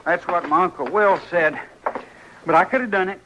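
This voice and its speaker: A man's voice: high voice, normal speed, lo-fi sound, lightly acted. An American actor playing a stubborn, boastful teenage boy in a 1950s radio western. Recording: noisy old radio broadcast recording.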